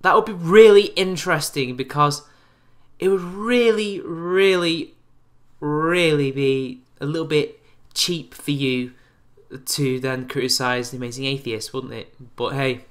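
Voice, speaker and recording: Sarcastic young man with a bright voice. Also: fairly high pitch, a little slow. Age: 20s. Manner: sarcastic, exasperated rants. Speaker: YouTube commentator. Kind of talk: response video commentary. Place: small bright room indoors.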